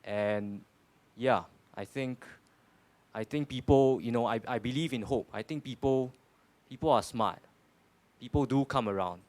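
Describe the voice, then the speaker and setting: A man's voice: mid-range voice, unhurried, long pauses, darkish tone. A man in his twenties with a Singaporean accent, answering on a panel in an earnest way, often repeating words. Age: 20s. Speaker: panel speaker.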